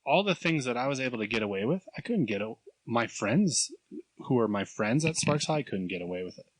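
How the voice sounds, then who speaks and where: A man in his thirties co-hosting a podcast, telling animated stories, acting out other people's voices and laughing often. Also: medium pitch, fairly quick, no echo, poor audio. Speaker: podcast co-host. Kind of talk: casual chat, storytelling.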